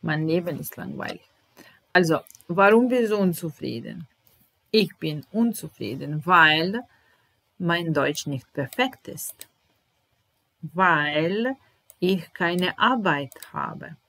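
A woman in her thirties with a Serbian accent, teaching German slowly, repeating each phrase while typing, in a small room at home. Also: on the low side, expressive, creaky, a bit bright, no echo, rough recording. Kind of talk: slow, deliberate language lesson.

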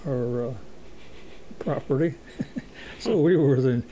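A man of eighty-seven recalling his youth in a halting way, with many fillers and a dry humor. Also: medium pitch, dark tone, slight background noise. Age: 80s. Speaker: interviewee. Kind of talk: oral history recollection.

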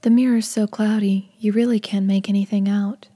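A detached horror game narrator read by a woman. The voice is dark, soft and breathy, with very little movement in pitch. The delivery is hushed and eerie. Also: fairly even intonation, normal speed, lightly acted.

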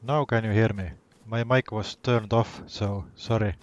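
A man's voice: on the low side, fairly even intonation, a little slow, highs cut off. A man, chatting casually and a little hesitantly with a stranger during an online game. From Estonia. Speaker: gamer.

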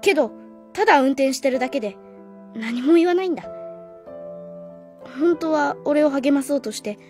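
A high, boyish voice acted by a woman plays a young schoolboy in a radio drama. He is lonely and stubbornly trusting. Soft music sits faintly behind him. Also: lively intonation, normal speed. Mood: wistful, earnest. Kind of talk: Japanese radio drama acting.

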